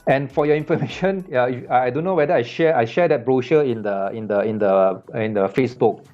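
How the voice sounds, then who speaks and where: A man from Malaysia talking casually on a business panel, repeating words and filling pauses with "uh" as he goes. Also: mid-range voice, darkish tone, highs cut off, soft background music. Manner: halting, many repeated words and fillers.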